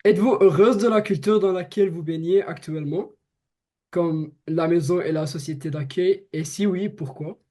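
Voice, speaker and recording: A man's voice: a little high, normal speed, dark tone. A man interviewing a guest for a school project, reading his questions from a script. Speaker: student interviewer.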